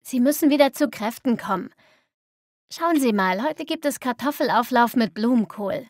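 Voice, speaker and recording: A woman's voice: a little high, animated, normal speed, dry recording, close to an everyday voice. A woman playing a caring, encouraging carer in a scripted language-lesson dialogue. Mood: coaxing. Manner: solicitous, encouraging. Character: caring, coaxing meal-bringing carer.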